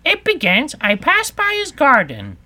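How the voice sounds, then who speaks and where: A man voicing a bossy, impatient, excitable Gryphon in a storybook reading. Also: extremely high pitch, a little slow, voice pushed up, heavily acted. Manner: impatient, exclamatory. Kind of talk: storybook character acting.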